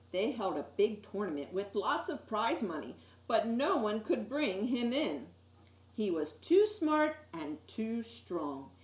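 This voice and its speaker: Woman reading a storybook in an echoey room. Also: mid-range voice. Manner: expressive storyteller with asides to listeners. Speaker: story time reader. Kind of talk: reading a children's picture book aloud.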